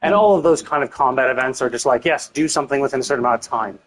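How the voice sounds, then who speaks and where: A man livestreaming a game with casual, rambling commentary, thinking out loud, cutting himself off and swearing freely. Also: medium pitch, racing, poor audio. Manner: casually profane. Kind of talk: casual gameplay stream commentary.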